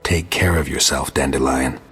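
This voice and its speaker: Very deep, raspy monster hunter. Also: male voice, normal speed, in a large hall, exaggerated voice. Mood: curt. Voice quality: low gravelly rasp. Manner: dry, deadpan, terse. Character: gruff, sardonic male monster hunter, world-weary. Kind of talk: video game voice acting.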